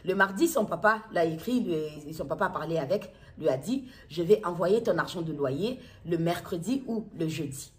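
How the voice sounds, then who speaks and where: A middle-aged woman with a Kinshasa Congolese accent and a somewhat low-pitched voice. She tells her account in her own words, as a mother addressing viewers. Her delivery is halting, with phrases repeated and restated as she goes. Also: lively intonation. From Democratic Republic of the Congo. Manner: halting, repetitive, circles back to restate phrases. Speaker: mother making a public appeal video. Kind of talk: personal testimony to camera, unscripted recounting.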